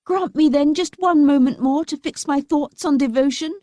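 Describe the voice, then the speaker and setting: A woman voicing a terrified young wife who pleads for her life in a high, desperate voice. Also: normal speed, warm tone, no room sound, studio quality, natural voice.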